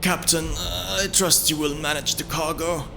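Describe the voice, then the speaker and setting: A man playing a seasoned traveling brother in a fantasy audio drama, a guide and leader who speaks with measured, kindly authority. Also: fairly high pitch, steady tone, creaky, noisy background, voice pushed up. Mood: grave, decisive. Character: seasoned traveling brother, male, genial guide turned grave commander.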